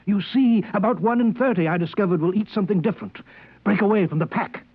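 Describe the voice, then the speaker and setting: Smooth scheming demagogue, tinny old radio. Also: male voice, high-pitched, fast, no echo. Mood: urgent, fervent. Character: scheming male demagogue, smooth, menacing, fanatically manipulative. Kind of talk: 1950s radio drama acting. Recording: thin, dry old radio broadcast recording.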